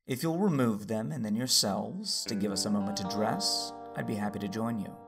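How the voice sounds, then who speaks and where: An actor playing a calm, tender Jedi master, narrating in first person with a measured, formal manner and a gravelly, husky voice. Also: male voice, on the low side, over music. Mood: composed.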